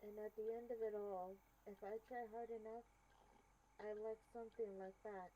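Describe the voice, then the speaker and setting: A woman streamer reading game text aloud with deliberate, expressive delivery. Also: medium pitch, a little hiss or hum, poor audio.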